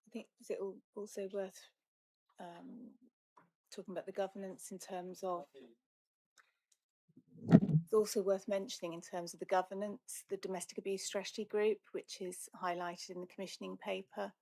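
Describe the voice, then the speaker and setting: A woman's voice: mid-range voice, flat delivery, normal speed, long pauses, mellow, dry recording, low-quality recording. A woman, a council officer, answering briefly and to the point at a meeting.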